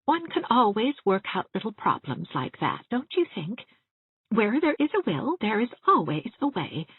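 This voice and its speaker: A gentle old widow aunt, acted by a woman reader, husky and very lilting in pitch. She rambles along confidingly and genteelly, a timid audiobook character in a thin, roomy recording. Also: very animated, normal speed, phone-quality sound. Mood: chatty, earnest. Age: 60s. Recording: roomy, thin band-limited sound.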